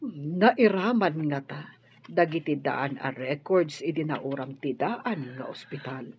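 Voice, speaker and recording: A woman's voice: low voice, expressive, measured pace, husky, full character voice. A woman playing an earnest, well-off madam in an Ilocano radio drama, searching for her past. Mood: earnest, wistful. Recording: dull sound with music behind.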